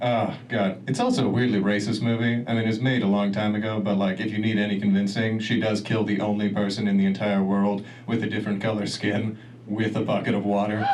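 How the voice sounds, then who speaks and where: A man's voice: fairly low pitch, little pitch movement, brisk. A man doing a stand-up comedy set in a club, rambling and laughing at his own jokes. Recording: distant mic, noisy club room.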